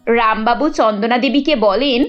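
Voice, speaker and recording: A woman's voice: on the high side, moderate pace. A woman reading scripted narration for a cartoon family story. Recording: dull lo-fi audio with music.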